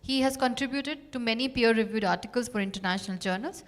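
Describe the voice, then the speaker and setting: A woman's voice: on the high side, quick pace. A woman moderating a panel, giving formal introductions and sometimes searching for words. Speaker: session moderator.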